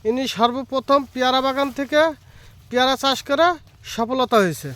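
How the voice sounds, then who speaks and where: High-pitched man presenting an outdoor vlog. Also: fairly even intonation, moderate pace, pushed and bright. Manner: repetitive, addressing viewers directly. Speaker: vlogger, host. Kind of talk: informal vlog presenting to viewers. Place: outdoors in a guava orchard. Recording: outdoor recording with faint background noise.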